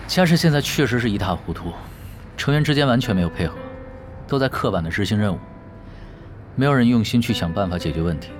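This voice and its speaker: A man playing a seasoned, easygoing esports captain in an audio drama, dry and unhurried as he explains things. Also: fairly low pitch, animated, over music, natural voice. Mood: sober, dry. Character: laid-back, wry, seasoned male esports team leader.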